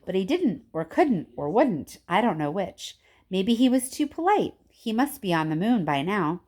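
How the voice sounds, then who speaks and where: A woman's voice: mid-range voice, very animated, moderate pace, a little mellow. A woman voicing an eccentric, impatient and boastful male candy-factory owner in an exclamatory storybook reading. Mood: insistent. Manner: exclamatory, theatrical. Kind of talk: children's storybook character reading.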